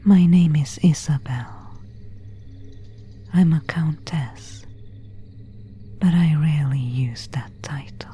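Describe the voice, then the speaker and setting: A woman voicing an elegant vampire countess in a whispered ASMR roleplay, courteous and quietly predatory, with formal, old-fashioned diction. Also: low voice, slow pace, long pauses, full character voice. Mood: gently solicitous. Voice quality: breathy whisper.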